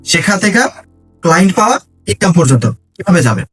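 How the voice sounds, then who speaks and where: Male Bangladeshi interviewer, slightly high voice, quick casual questioning. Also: sing-song, slightly rough, faint music behind. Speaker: interviewer, host.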